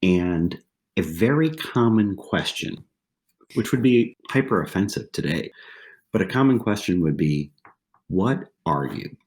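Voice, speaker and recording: Deep-voiced man telling stories. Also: animated, a little slow, darkish tone. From southwestern Pennsylvania, USA. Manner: conversational, repeats phrases, tags lines with "right?". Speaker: guest. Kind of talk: casual interview storytelling.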